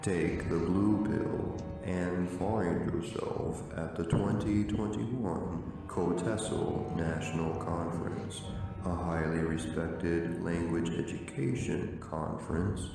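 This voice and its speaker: A man playing a grave, theatrical rebel leader and mentor in a radio play, speaking deliberately and portentously. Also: low-pitched, steady tone, creaky, full character voice. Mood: solemn, persuasive. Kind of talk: dramatic radio play acting. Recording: echoey, distant, music behind.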